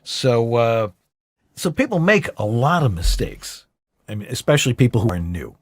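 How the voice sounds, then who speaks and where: Animated male host, close dry sound. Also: medium pitch, moderate pace, dry recording. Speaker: talk show host, interviewer. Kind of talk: casual conversational interviewing.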